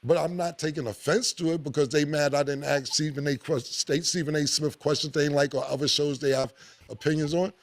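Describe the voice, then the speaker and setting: A man, hosting a podcast with blunt, animated opinions and a lot of swearing. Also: brisk, no room sound. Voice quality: deep voice. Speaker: podcast host. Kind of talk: casual opinionated podcast talk.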